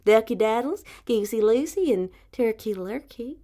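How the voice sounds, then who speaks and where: Woman voicing a slow, coaxing, sly male fox. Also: fairly high pitch, expressive, mellow, close to an everyday voice. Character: sly, smooth-talking male fox luring prey. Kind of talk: fairy tale storytelling.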